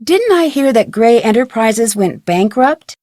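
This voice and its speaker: A woman's voice: a little high, big pitch swings, measured pace, darkish tone, dry recording, studio quality. A woman playing an inquisitive, polite teenage girl detective in an adventure game, with earnest scripted delivery. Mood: curious.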